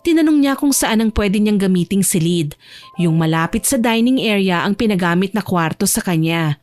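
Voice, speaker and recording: A woman's voice: mid-range voice, animated, moderate pace, darkish tone. A woman narrator reading a scripted romance story in audiobook style. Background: faint music.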